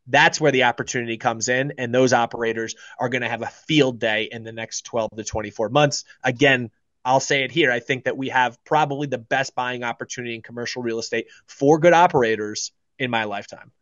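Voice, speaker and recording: A man's voice: mid-range voice, fairly even intonation, a little fast, a bit bright, no room sound. Confident male guest in his 30s, emphatic, dry and slightly dull call audio. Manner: confident, emphatic, repeats words for stress. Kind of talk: casual investing talk. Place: small studio with branded backdrop, indoors. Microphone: studio mic on boom arm, video call.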